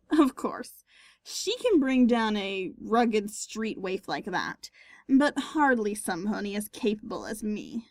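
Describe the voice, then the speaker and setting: A woman's voice: mid-range voice, sing-song, takes it slowly, no echo, clean studio sound, full character voice. A woman acting a smug, mischievous unicorn pony in a fan audio drama, knowing and mocking. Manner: knowing, mocking, self-assured.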